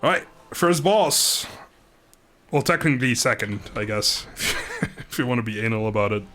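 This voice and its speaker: A man's voice: medium pitch, animated, normal speed, sharp tone, faint music behind. A man doing casual live game commentary, chatting informally with viewers, joking and swearing mildly. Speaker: game streamer.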